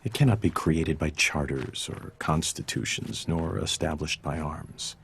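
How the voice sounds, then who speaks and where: Deep, gravelly man reading deliberately. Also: fairly bright tone, a little hiss or hum. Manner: measured, deliberate, earnest delivery. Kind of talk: scripted quotation reading.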